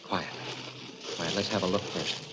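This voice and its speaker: An actor playing a watchful man leading a hushed search in a 1940s radio drama. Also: low voice, steady tone, measured pace. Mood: tense, hushed. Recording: noisy old radio recording.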